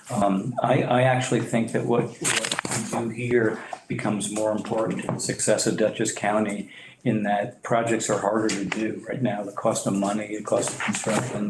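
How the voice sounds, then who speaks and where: A developer presenting to a public board meeting, halting with many fillers and restarts, clearing his throat as he loses his voice. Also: on the low side. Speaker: property developer. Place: meeting room. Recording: echoey room, faint noise.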